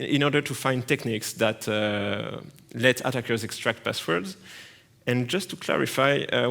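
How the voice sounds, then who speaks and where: A man with a French accent giving a technical talk at a conference, halting often with fillers and restarts. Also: medium pitch, gravelly, a bit bright. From France. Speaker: conference speaker. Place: conference hall.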